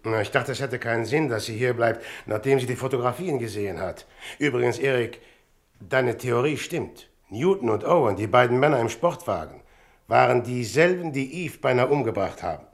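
An actor playing a calm, courteous investigator with a gravelly voice and measured, polite delivery in a German radio crime drama. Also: male voice, medium pitch, lightly acted. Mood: businesslike.

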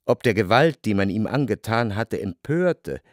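A man's voice: lowish voice, expressive, no room sound, lightly acted. Man as reflective literary narrator, very dry studio. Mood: measured, reflective. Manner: measured, deliberate phrasing with pauses. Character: reflective, well-to-do male narrator recalling society life. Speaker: first-person narrator of a literary radio drama. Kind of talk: scripted literary narration in a radio play. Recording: dry studio with clear music. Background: music.

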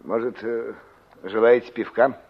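An actor playing a terse but formally obliging village innkeeper in an old Soviet radio play. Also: male voice, medium pitch, fairly even intonation, a little slow. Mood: laconic. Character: laconic male village tavern keeper. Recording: dull old radio play recording, faint noise.

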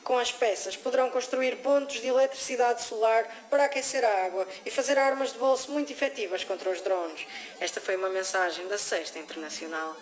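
A woman's voice: on the high side, fairly even intonation, a little fast, a little hiss or hum, natural voice, rough recording. An actress in a radio drama playing a woman announcer who reads a formal, instructing revolutionary message. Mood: official. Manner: declarative, instructing.

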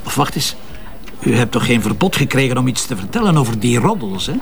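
An actor playing a stubborn, teasing Flemish police inspector in a radio drama, probing and sardonic in colloquial speech. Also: male voice, medium pitch, big pitch swings, a little fast, vocal fry. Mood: dryly probing. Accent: Flemish Belgian. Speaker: actor in a detective audio drama. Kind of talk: naturalistic radio drama dialogue.

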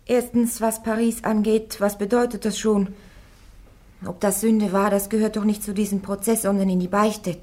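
A woman's voice: medium pitch, little pitch movement, normal speed, a little mellow, close to an everyday voice. An actress playing a young peasant woman on trial before churchmen, answering them stubbornly and plainly. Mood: defiant. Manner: stubborn, plain-spoken and pleading. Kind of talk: radio play acting.

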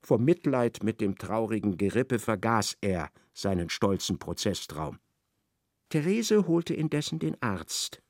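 A man narrating a literary radio play in a measured, scripted manner. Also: on the low side, big pitch swings, a little mellow, no room sound, studio quality. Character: measured male narrator of a satirical novel.

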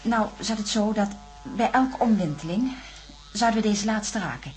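A woman's voice: mid-range voice, expressive, moderate pace, soft background music, lightly acted, poor audio. An actress playing a level-headed, earnest female astronaut in a science-fiction radio drama. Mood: sober recounting. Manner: explanatory, earnest. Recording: radio drama with faint hum.

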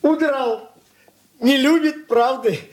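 An actor playing a boastful, wheedling young merchant husband with a glib, clowning manner in a stage-style radio drama. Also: male voice, extremely high pitch, slow pace, slight background noise. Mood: sullen.

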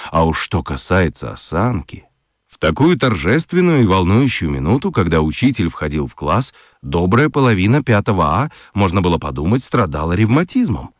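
A man narrating a children's radio play in a gently ironic, highly expressive storytelling manner. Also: moderate pace, no room sound, clean studio sound.

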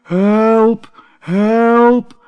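A man voicing a greedy, boastful, bossy male tortoise in a storybook, with emphatic, drawn-out pleading. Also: sits high, steady tone, smooth, highs cut off, voice pushed up. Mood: panicked, bossy. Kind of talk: storybook character voice.

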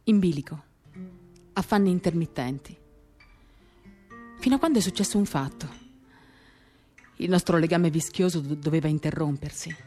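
A woman giving a dramatic, confiding first-person reading of literary prose, measured and intimate, in short reflective phrases. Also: low-pitched, dark tone. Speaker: reader of a novel for radio theatre. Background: acoustic guitar music.

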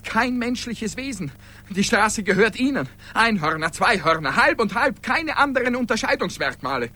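Anxious, passionate man, bright and swooping. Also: sits high, heavily acted. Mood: subdued, tender. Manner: agitated, insistent, pleading. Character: Behringer, anxious, passionate ordinary man clinging to humanity. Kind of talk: radio drama acting.

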